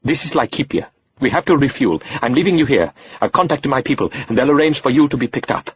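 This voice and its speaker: A man voicing a furious adult male agent, a pilot who shouts over the noise of a plane. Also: mid-range voice, animated, fast, lo-fi sound, pitched above the performer's own voice, close to an everyday voice. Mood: curt.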